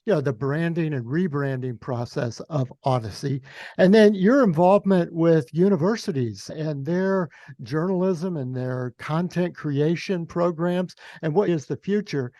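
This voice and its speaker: A man's voice: on the high side, a little slow, dark tone, no room sound, clean studio sound. A man hosting an interview podcast in a chatty, conversational way. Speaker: podcast host.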